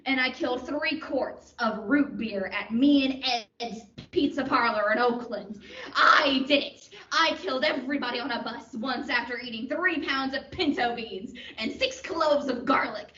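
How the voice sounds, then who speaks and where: Young woman playing a high-pitched, unhinged confessing killer. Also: normal speed, bright, forceful tone. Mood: manic, boastful. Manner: melodramatic, theatrical. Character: crazed, boastful confessed killer, melodramatic and unhinged. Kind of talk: comic radio play acting. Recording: dull, roomy, distant microphone.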